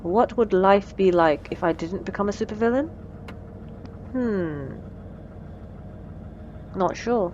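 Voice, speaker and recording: A woman's voice: mid-range voice, takes it slowly, long pauses, darkish tone, highs cut off, a little hiss or hum, lightly acted. A woman playing a wry, menacing supervillain in a scripted audio roleplay, teasing and condescending, with cool threats. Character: wry, menacing female supervillain mentoring a captive assistant.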